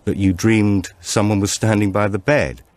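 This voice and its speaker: A man's voice: on the low side, fairly even intonation, moderate pace, a little mellow, no echo, pitched above the performer's own voice, close to an everyday voice. A man voicing a troubled, apologetic father, earnest and uneasy, in an audiobook thriller. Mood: uneasy, probing.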